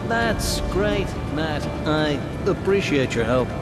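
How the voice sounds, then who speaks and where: An actor playing a polite, theatrical fantasy wizard in a video game, speaking formally and grandly. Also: male voice, a little high, big pitch swings, slow, loud music behind the voice. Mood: courteous.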